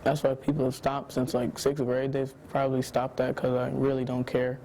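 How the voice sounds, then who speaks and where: A teenage boy answering documentary interview questions in a laid-back, halting way, with lots of "like". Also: mid-range voice, monotone, mellow, slight background noise. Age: teens. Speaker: student interviewee.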